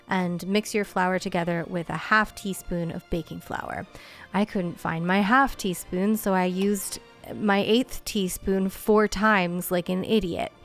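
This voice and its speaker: A woman from the United States hosting a video essay, narrating a recipe with dry, sarcastic humor and casual swearing. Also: medium pitch. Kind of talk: casual voice-over narration over cooking footage.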